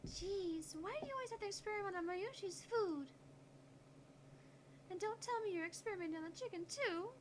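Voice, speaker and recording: A woman's voice: very high-pitched, a little fast. A woman voicing a sweet, ditzy teenage girl in a visual novel game, with a childlike, artless manner and some background noise. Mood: dismayed.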